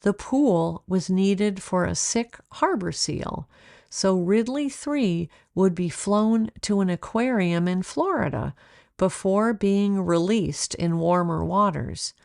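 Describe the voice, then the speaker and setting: A woman narrating a children's storybook in a measured, expressive way, like an author reading aloud. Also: medium pitch, vocal fry, no room sound, studio quality.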